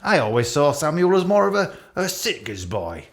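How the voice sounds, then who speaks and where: A man's voice: on the high side, sing-song, moderate pace, creaky. A man playing an awkward, catty office boss with a British accent, giving a hesitant, rambling, jokey leaving speech in a radio play. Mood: awkwardly jovial. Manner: hesitant, repetitive, jokey with barbed asides.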